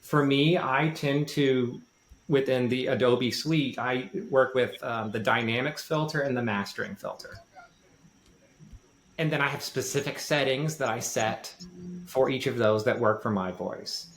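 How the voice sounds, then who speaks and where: Guy chatting through tips on a podcast, light voice not much bass, kinda roomy echo. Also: unhurried, fairly bright tone, slight background noise. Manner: informal, self-deprecating. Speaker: learning developer giving advice on a podcast. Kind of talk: casual explanatory podcast talk. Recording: roomy, echoey sound.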